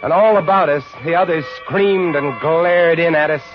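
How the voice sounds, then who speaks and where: An actor playing a haunted lighthouse keeper, narrating a siege in theatrical, deliberate 1950s radio drama style. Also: male voice, a little high, close to an everyday voice. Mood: tense, dread. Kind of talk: 1950s radio drama acting, suspenseful first-person narration. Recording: dull old radio recording with loud music.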